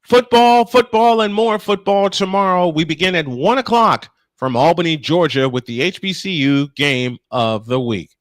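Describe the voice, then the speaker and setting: A man's voice: fairly high pitch, normal speed, hardly any pauses, dry recording. A man hosting a live baseball broadcast as play-by-play announcer, talking to listeners in a folksy, direct way. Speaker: play-by-play sports broadcaster and host. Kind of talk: live baseball commentary and sign-off.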